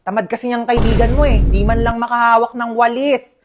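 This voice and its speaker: Woman voicing a scolding middle-aged aunt; comedy sketch, clear background music. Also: a little high, fairly even intonation, a little fast, lightly acted. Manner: scolding, stretches words for emphasis.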